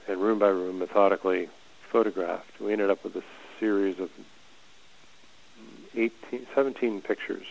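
Low gravelly guy, quiet and halting, lots of restarts, muffled call audio. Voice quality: low, soft-spoken voice. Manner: hesitant, halting with many restarts. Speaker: guest. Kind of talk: conversational call discussion. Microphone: remote call audio.

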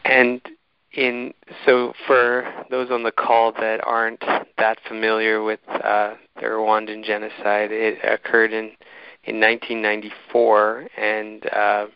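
A man hosting an interview, speaking haltingly and searching for his words, on slightly dull audio. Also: fairly low pitch, completely flat delivery, smooth, dry recording. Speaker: interviewer and host introducing a guest. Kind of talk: hosting an online community talk.

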